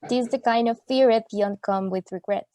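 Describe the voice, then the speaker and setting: A woman's voice: a little high, normal speed, mellow, no echo, close to an everyday voice. A woman playing a solemn, wounded young woman who declaims in formal, archaic phrasing in a radio play. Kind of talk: amateur radio play acting.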